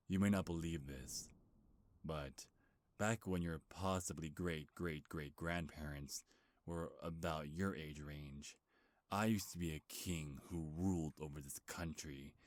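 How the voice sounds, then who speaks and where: A man's voice: extremely low pitch, dry recording. A man playing an ancient, bitter, grieving beast king in a dramatic ASMR roleplay, brooding and menacing with heavy sighs. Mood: brooding, proud.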